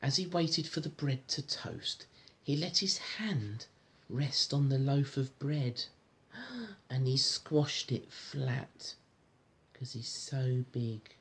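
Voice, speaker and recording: A man reading a storybook aloud to a child in an informal, encouraging, gentle way, with chatty asides. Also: medium pitch, lively intonation, slow pace, breathy edge. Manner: encouraging, gentle, echoes the child's words back. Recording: home recording with faint background noise.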